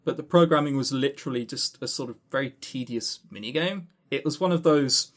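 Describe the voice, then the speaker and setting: A man's voice: medium pitch, brisk. A man co-hosting a podcast in casual chat, rambling along with sarcastic, dry humour. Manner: swears casually. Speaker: podcast co-host. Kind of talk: casual chat running through award lists.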